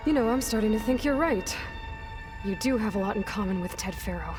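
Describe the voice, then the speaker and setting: An actress playing a young, sceptical huntress in a video game, with a blunt, wry, conversational delivery. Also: female voice, medium pitch, lively intonation, a little fast, music in the background, natural voice. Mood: guarded. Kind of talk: video game cutscene acting.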